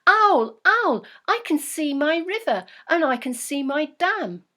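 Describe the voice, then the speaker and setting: A woman voicing a hardworking mother beaver who talks in a flustered, breathless way, raised and louder than her narration. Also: fairly high pitch, unhurried, gravelly. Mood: thrilled. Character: eager hardworking female beaver, excitable.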